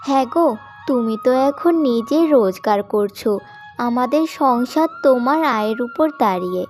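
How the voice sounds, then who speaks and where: Casting: woman as soft-hearted forgiving young wife, cartoon tale, high girlish register. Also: expressive, moderate pace. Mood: pleading, gentle. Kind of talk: cartoon story acting. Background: background music.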